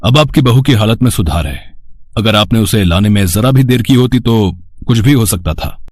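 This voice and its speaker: A man playing a low-voiced serious doctor. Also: lively intonation, normal speed, darkish tone, dry recording, studio quality. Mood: grave, reassuring. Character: serious male hospital doctor. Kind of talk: story dialogue.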